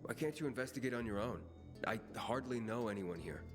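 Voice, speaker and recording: A man's voice: medium pitch, expressive, a little fast, natural voice. An actor playing a wary male pilgrim courier who talks bluntly and tersely, in a game cutscene. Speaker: player character, a pilgrim courier. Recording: game cutscene with music bed.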